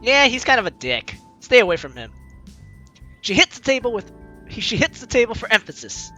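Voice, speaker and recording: Animated, bright-voiced game playthrough host. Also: medium pitch, fairly quick, soft background music. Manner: exclaims and voices dialogue expressively. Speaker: gaming video host reading and reacting to a visual novel. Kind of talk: playthrough narration with animated ad-libbed reactions.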